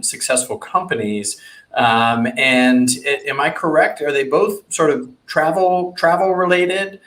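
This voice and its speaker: A male interview host on a video call with a mid-pitched voice. His words bounce around a roomy, echoey space, and he talks haltingly, restarting and repeating words as he goes. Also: fairly bright tone. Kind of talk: casual interview chat.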